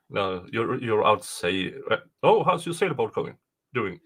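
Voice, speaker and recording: Male streamer, unhurried and bright-toned, informal, joking delivery. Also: fairly low pitch, very animated, husky. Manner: jokey, quick to laugh. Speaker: livestream host. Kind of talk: casual livestream chat.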